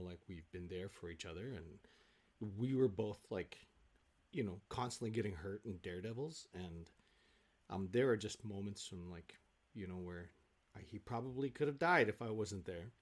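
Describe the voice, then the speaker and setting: A man with a low voice chats as a guest over a video call. He talks in an animated but halting way, rambling through his thoughts in casual conversation. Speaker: guest, stage actor. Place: home office, on a video call. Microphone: video call microphone.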